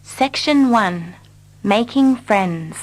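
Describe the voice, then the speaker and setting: Slow, careful woman repeating lesson lines. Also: mid-range voice, lively intonation, poor audio. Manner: carefully repeats each line. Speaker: English teacher. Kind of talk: slow scripted reading for learners.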